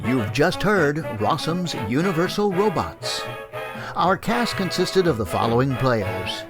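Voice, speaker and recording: A man working as an announcer, reading scripted cast credits. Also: mid-range voice. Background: music.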